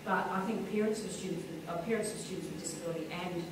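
Low-voiced woman in an echoey hall. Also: fairly even intonation, fast. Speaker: panelist education leader. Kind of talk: public forum answer. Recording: echoey hall, mic far off, noisy.

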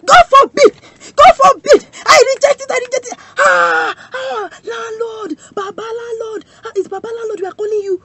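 A woman's voice: extremely high pitch, normal speed, fairly bright tone. An actress with a Yoruba Nigerian accent playing an emotional widowed mother who pleads and wails in a radio drama. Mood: outraged.

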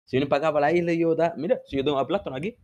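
A man's voice: on the high side, very fast, darkish tone. A young man from the Canary Islands with a Canarian accent, telling comic stories casually on a video call in a slangy, boastful, rambling way. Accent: Canarian Spanish. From Canary Islands, Spain. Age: young adult. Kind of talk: casual chat, comic storytelling. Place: indoor room, video call.

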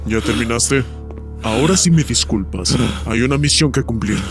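A man acting a stern, practical robot squad leader in an animated fan dub, curt and commanding. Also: medium pitch, normal speed, natural voice. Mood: stern, matter-of-fact. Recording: loud music over the voice.

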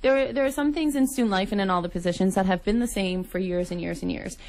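A woman's voice: mid-range voice, fast talker, a little mellow. A young woman from Nova Scotia with a Canadian accent, answering earnestly as a guest in a casual radio interview. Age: young adult. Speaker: guest, incoming student union executive. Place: radio studio.

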